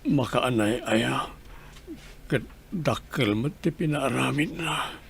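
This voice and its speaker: A man's voice: medium pitch, slow, gravelly. A man with an Ilocano accent playing a weary, sickly, remorseful son pleading in a radio drama. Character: sickly, remorseful grown son who abandoned his family.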